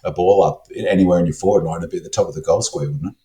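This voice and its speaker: Man, Australian accent, croaky hoarse voice, rambling podcast chat, roomy echo. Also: low-pitched, quick pace, mellow. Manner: rambling, full of ums and restarts. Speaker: resident stats analyst.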